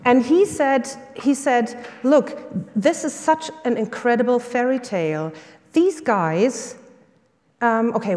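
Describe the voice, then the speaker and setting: Woman, German accent, middle-aged, conference talk, enthusiastic, many fillers, hall reverb. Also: fairly high pitch. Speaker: summit speaker, lecturer and coach.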